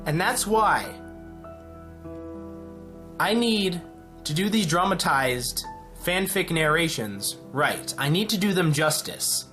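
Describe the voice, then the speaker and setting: An excited channel host making a casual announcement, talking in an emphatic, rambling way. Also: medium pitch. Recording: music behind the voice. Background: music.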